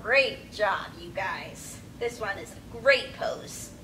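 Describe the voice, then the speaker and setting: Bright-voiced young woman leading kids' yoga, distant mic. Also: lively intonation, slow. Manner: encouraging, simple step-by-step directions; demonstrating poses while talking. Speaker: children's yoga storytime instructor. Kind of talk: instructional talk for young children. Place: small indoor room with padded steps. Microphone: camera several metres away. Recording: distant camera mic, rumbly background noise.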